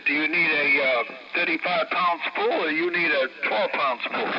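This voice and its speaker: A man's voice: a little high, normal speed. An American man chatting casually over CB radio in a folksy manner, through a muffled, noisy transmission. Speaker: CB radio operator.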